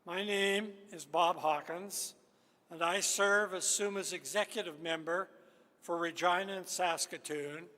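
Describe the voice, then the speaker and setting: A man's voice: sits high, fairly even intonation, unhurried, bright, forceful tone. A man chairing a convention, delivering formal ceremonial opening remarks in a large echoing hall. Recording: reverberant hall, PA sound.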